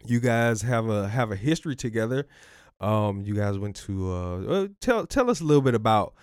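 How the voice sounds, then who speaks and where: Male podcast host, low voice, dry close sound, loose and playful, repeats himself. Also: very animated, normal speed, clear, resonant tone, no echo. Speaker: podcast host and relationship coach. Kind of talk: casual podcast interview.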